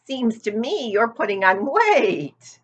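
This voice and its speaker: Woman doing a loud, blunt old ewe. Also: a little high, sing-song, takes it slowly, husky, voice pushed up, heavily acted. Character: old female sheep, blunt and gloomy. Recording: dull lo-fi recording.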